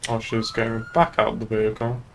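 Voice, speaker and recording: A man's voice: lowish voice, monotone, a little fast, gravelly. Man on game stream, noisy background. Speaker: live streamer playing a police officer. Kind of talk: casual in-game radio chatter. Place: indoors at a gaming setup, streaming. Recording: background noise from game ambience.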